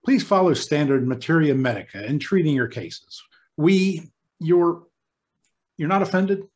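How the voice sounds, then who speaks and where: An actor playing a courteous senior hospital doctor in an old-time radio drama, formal, halting and apologetic. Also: male voice, on the high side, animated, darkish tone. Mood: awkward, apologetic.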